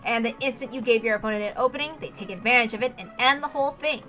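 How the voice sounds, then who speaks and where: Bright, high-voiced woman narrating. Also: racing, soft background music. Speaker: reader narrating a visual novel. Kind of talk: expressive dramatic narration.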